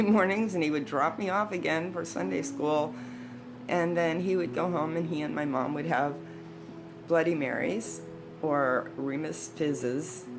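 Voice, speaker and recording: Low-voiced woman in her sixties reminiscing. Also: poor audio. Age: 60s. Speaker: storyteller, video host. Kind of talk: casual personal reminiscing. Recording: background guitar music. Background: instrumental guitar music.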